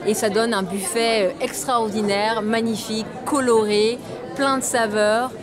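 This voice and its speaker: A woman's voice: fairly high pitch, fairly even intonation, unhurried. A woman in her thirties, an event organizer, explaining casually on camera with many hesitations. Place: crowded cinema lobby indoors. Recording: loud crowd and music behind.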